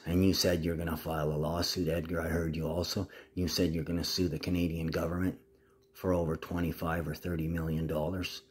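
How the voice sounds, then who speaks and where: A man talking casually to the camera in a rambling, agitated monologue full of swearing. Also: deep voice.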